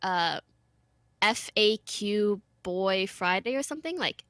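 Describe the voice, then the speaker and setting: A woman in her twenties whose first language is French, a streamer chatting casually in an animated, giggly way and trailing off mid-sentence. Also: medium pitch, takes it slowly, no room sound, studio quality. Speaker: streamer guest. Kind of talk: casual chat.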